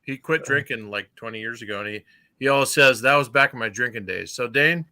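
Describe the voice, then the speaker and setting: A man's voice: medium pitch, brisk, sharp tone. Wisecracking man with a Southern accent. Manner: teasing, wisecracking. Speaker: co-host. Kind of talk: casual livestream chat.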